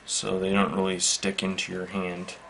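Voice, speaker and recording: Low, gravelly man in flat monotone. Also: low-quality recording. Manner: matter-of-fact, halting, measured. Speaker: product reviewer. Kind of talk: casual unscripted product demonstration. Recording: faint background noise.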